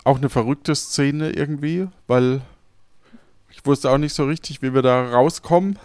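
A man talks as a podcast host in an easygoing conversational way. His mid-pitched voice is recorded close and dry, with no room around it. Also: moderate pace, darkish tone, clean tone, dry recording. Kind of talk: casual podcast chat about an improvised audio adventure.

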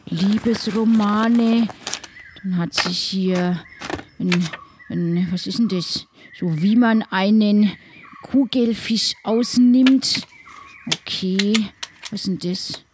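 A woman's voice: mid-range voice, steady tone, slow pace, close to an everyday voice. A woman playing a chummy, teasing pirate companion in an improvised audio drama, talking informally. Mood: curious. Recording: faint background noise.

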